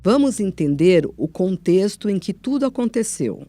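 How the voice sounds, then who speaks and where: A woman's voice: medium pitch, big pitch swings, normal speed, dark tone, dry recording, soft background music. A woman with a Brazilian accent reading scripted narration as presenter of a radio documentary.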